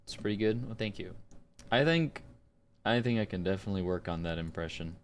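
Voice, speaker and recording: A man who streams voice acting, chatting casually and muttering low-key asides while he works. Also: expressive, fairly quick, clean tone. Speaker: streamer and voice actor. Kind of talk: casual livestream chat.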